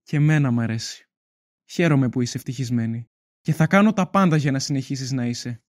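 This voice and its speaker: A gentle older brother , played by a man, in an audio drama. He speaks tenderly and reassuringly, devoted to the one he is talking to. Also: medium pitch, natural voice.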